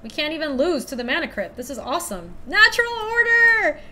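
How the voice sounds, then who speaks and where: A young woman from Ecuador chatting casually through a card game stream, thinking out loud and laughing often. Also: high-pitched, sing-song, fairly quick, a little hiss or hum. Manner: chatty, giggly, thinks aloud to chat. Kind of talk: casual live-stream commentary.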